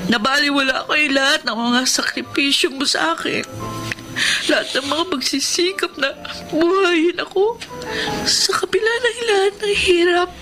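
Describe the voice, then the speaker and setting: A very high-pitched, emotional teenage girl in a radio melodrama. She pleads tearfully and earnestly, with music playing behind her. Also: poor audio. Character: emotional eighteen-year-old daughter, remorseful and grateful. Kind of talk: melodramatic radio drama acting.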